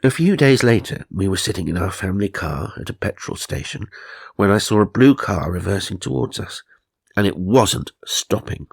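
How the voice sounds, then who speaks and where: Low, creaky British man reading tongue-in-cheek. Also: animated, moderate pace, dry recording, clean studio sound. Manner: mock-serious, sardonic asides. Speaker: narrator and host. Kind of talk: comic reading of magazine stories with asides.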